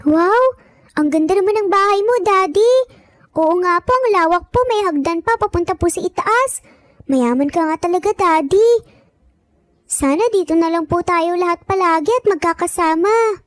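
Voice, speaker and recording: Excited, polite small child, played by a woman narrator, very high and wide-eyed, close dry audio-drama sound. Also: moderate pace, no room sound. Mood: excited, awed. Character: excited, sweet young child marvelling at daddy's big house.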